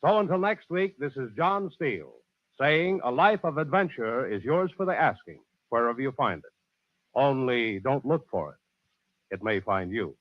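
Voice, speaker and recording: An actor playing a genial, worldly adventurer who hosts and narrates stories in a 1940s radio style. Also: male voice, medium pitch, lively intonation, moderate pace, dry recording, natural voice. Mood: dramatic, genial. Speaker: radio show host and narrator. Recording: dull old radio broadcast recording.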